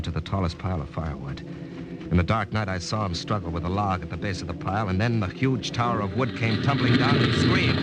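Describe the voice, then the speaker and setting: An actor playing a determined, brooding American captain with an American accent, narrating an old radio drama. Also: male voice, fairly low pitch, heavy background noise.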